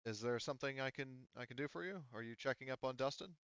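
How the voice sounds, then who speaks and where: A man's voice: medium pitch, quick pace, a bit bright, clean tone, no echo, muffled recording, voice pushed up, close to an everyday voice. A man voicing a cautious, courteous female colony doctor in a formal, hesitant manner, as part of a tabletop game. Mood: polite.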